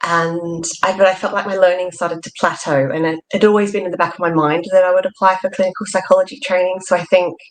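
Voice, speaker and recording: Australian woman, a lowish voice. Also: little pitch movement, fast, hardly any pauses, poor audio. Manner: fluent, reflective, lots of ums and "sort of". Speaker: trainee psychologist guest. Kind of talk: interview conversation.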